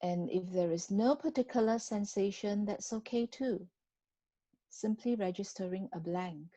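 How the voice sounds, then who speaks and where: A woman guiding a body scan meditation in a gentle, unhurried manner with long pauses between phrases. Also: medium pitch, dry recording. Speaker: meditation guide.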